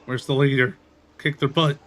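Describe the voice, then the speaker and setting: A man's voice: mid-range voice, level tone, moderate pace, a bit bright. A man streaming a video game, giving casual, playful commentary and half talking to himself. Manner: playful, talks to self. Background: game music.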